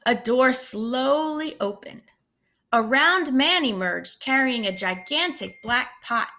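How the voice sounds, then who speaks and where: A teacher reading a picture book aloud to young children, with expressive voices and little asides to the class. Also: female voice, high voice. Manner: animated, pausing to comment to children. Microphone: screencast computer mic.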